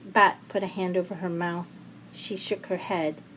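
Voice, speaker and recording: A woman's voice: on the low side, fairly even intonation, normal speed, a bit bright, low-quality recording. A woman reading scripted fiction as a storyteller. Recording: faint background ambience noise.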